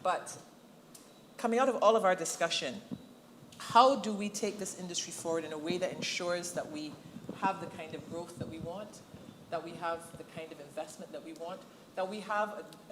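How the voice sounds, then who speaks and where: A Barbadian woman moderating a webinar panel, posing questions in a clear, measured way. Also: fairly low pitch, noisy background. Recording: echoey room, faint ambience.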